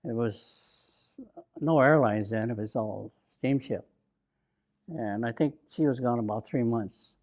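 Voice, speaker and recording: A man in his nineties from Bainbridge Island, Washington, telling his own memories in an oral history interview, slow and reflective, halting with fillers and restarts. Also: medium pitch. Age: 90s. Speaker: interviewee, WWII-era Japanese American. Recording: interview recording.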